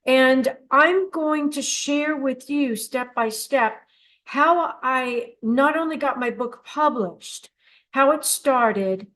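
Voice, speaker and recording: A woman's voice: high-pitched, takes it slowly, a bit bright, poor audio. Chatty encouraging older woman radio host. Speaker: host of a dream radio show. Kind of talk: casual solo radio talk.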